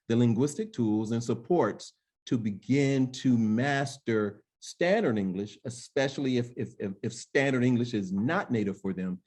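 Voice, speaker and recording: A middle-aged man with an American accent speaking as a panelist in a webinar, explaining deliberately and emphatically, often checking in with "right?". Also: medium pitch, a little mellow. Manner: emphatic, deliberate, peppered with "right?" and "you know". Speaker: panelist and language education specialist.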